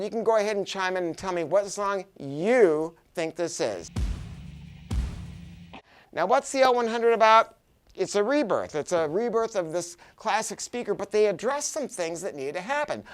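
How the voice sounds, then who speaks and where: Animated American man pitching products. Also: smooth. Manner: chatty, emphatic, repeating words for stress. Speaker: audio shop owner presenting a product review. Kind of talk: enthusiastic unscripted product pitch.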